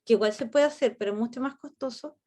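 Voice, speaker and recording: A woman teacher with a Chilean accent, leading a class discussion in a measured, reflective way. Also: on the high side, warm tone. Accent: Chilean Spanish. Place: online class.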